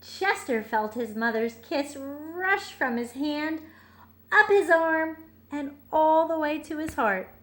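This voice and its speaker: High-pitched, slow-paced woman reading to children. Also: fairly bright tone, slight background noise. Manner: simple explanations and questions aimed at children. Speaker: storyteller for young children. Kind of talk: picture book read-aloud with asides.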